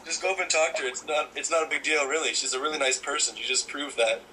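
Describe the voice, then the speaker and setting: A man's voice: fairly high pitch, fairly even intonation, quick pace, pushed and bright, a little hiss or hum, rough recording. A young man from New Zealand bantering casually, with a teasing, joking manner. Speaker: friend of the vlogger joining the chat.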